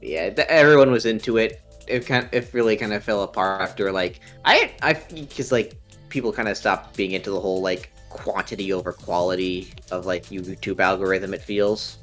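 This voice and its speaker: A man's voice: sits low, moderate pace, fairly bright tone, soft background music. A young man with a nasal voice, chatting casually on a live stream, rambling with many ums and restarts. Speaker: stream co-host.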